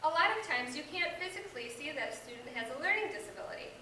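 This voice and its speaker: A woman's voice: high-pitched, expressive, fast, sharp tone. A young American woman playing a patient, supportive reading teacher in amateur reader's theater, with an instructive scripted delivery. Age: young adult. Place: echoey indoor room. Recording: echoey room, distant microphone, background hiss.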